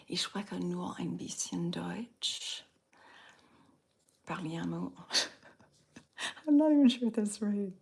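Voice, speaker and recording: A woman from the Southern US with a slight Southern accent, softly whispering in an unhurried, drawn-out way as she chats with viewers in an ASMR stream. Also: lowish voice, vocal fry, husky. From Southern United States. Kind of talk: ASMR whispered live chat.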